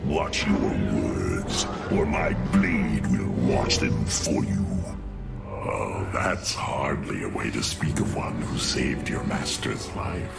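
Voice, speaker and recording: Very deep, menacing alien warlord. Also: male voice, lively intonation, slow pace, gravelly, heavily acted. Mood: haughty, sneering. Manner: haughty, theatrical, taunting. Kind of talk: video game cutscene acting. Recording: loud music behind.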